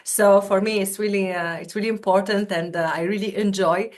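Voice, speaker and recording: A woman's voice: medium pitch, fairly even intonation, fairly quick. Woman from Tunisia, recruiter presenting on a video call, chatty and hesitant. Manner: chatty, many fillers and restarts. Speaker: university student recruitment officer. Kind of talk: webinar presentation.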